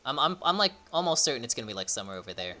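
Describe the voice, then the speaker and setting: A young man giving casual, rambling, excitable live commentary as he streams a game. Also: medium pitch, racing, slight background noise. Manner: rambling, excitable, mild swearing. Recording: faint game music behind. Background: game music.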